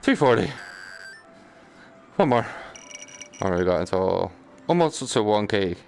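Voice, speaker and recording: Low-voiced British man grumbling over gameplay. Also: sing-song, unhurried, gravelly, a little mellow, a little hiss or hum. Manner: grumbling, sarcastic, mild swearing. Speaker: gaming streamer. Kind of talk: casual live gameplay commentary.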